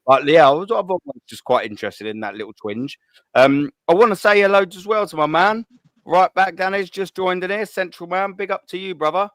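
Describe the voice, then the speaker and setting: A man's voice: sits high, brisk, fairly bright tone, no room sound. A man hosting a football call-in show, chatting casually with lots of slang, swearing and fillers. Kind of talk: casual live-stream chat.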